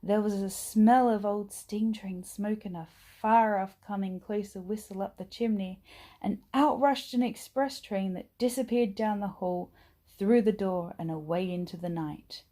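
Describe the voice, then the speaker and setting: A woman reading a children's bedtime story aloud in an expressive, acted-out manner. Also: mid-range voice, unhurried. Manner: animated, acts out dialogue and sound words. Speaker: storybook reader.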